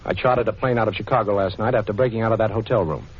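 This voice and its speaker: An actor playing a cocky, chivalrous amateur sleuth in a 1940s radio crime drama, breezy and reassuring. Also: male voice, on the low side, fairly even intonation, racing, husky. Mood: determined, breezy. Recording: muffled, noisy 1940s radio recording.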